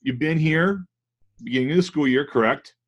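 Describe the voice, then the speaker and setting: A man's voice: fairly high pitch, normal speed, muffled recording. A man who hosts a school podcast and used to be a principal, asking loose, rambling questions in an upbeat, enthusiastic way. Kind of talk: casual podcast interview.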